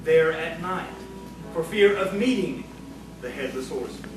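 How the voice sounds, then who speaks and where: A man's voice: fairly high pitch, expressive, a little slow. A man narrating and hosting an audio theatre show, addressing listeners in a scripted presenter's style. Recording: very echoey, distant hall recording with music.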